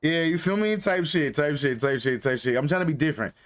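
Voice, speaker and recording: A man's voice: a little high, brisk. Young male streamer from North Carolina; loose, filler-heavy slangy chat to viewers. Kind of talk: casual live-stream chat.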